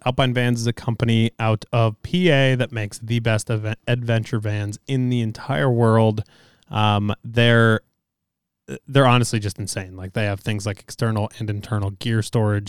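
A man's voice: fairly low pitch, fairly even intonation, unhurried, clean tone, no echo, studio quality. A man hosting a podcast, talking loosely with lots of fillers and swearing, and tired after a long day. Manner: loose and profane, many fillers. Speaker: podcast host. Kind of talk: casual podcast talk with ad reads.

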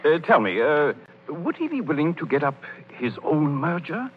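An actor playing a genial, reassuring wealthy gentleman in 1930s radio comedy, courtly and a little hesitant. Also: fairly high pitch, sing-song, moderate pace. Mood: kindly. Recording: dull, noisy 1930s radio broadcast.

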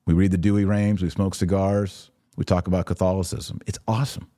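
Male podcast commentator: very low, mellow voice, conversational but emphatic delivery. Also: little pitch movement, a little fast, no echo, studio quality. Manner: conversational, emphatic, acts out imagined exchanges. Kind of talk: casual religious commentary, answering listener questions.

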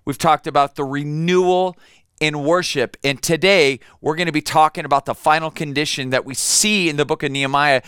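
Bright, crisp-voiced man preaching. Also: fairly high pitch, steady tone, a little fast, no room sound, studio quality. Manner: conversational, with fillers and repeated words. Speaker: pastor. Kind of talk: sermon with personal storytelling.

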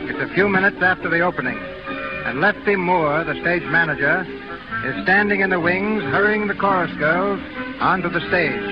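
A man's voice: high voice, normal speed, close to an everyday voice. A man reading scene-setting narration in a 1930s radio drama style. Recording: muffled 1930s radio broadcast, loud music behind.

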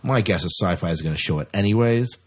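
A man's voice: low-pitched, lively intonation, fast talker. A man with an American accent co-hosting a podcast in a casual, chatty style. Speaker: podcast co-host. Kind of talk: casual sci-fi news chat.

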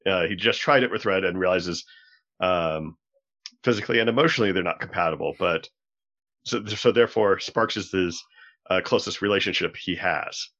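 A man's voice: deep voice, normal speed, fairly bright tone. A man on a podcast panel explaining ideas analytically, piecing them together as he goes, on slightly lo-fi audio.

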